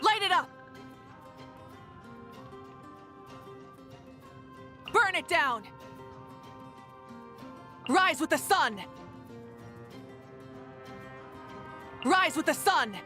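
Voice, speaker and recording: A young woman voicing a confident, warm warrior leader of fire in a video game, speaking in a poised, earnest, assured way, with music behind her. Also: extremely high pitch, lively intonation, takes it slowly, exaggerated voice. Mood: fierce battle cries. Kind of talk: video game character voice lines.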